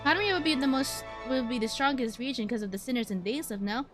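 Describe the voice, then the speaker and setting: A woman's voice: high-pitched, lively intonation, quick pace. A woman giving casual live game commentary, musing aloud about the story as she plays. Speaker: streamer playing a game live. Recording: game music behind. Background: game music.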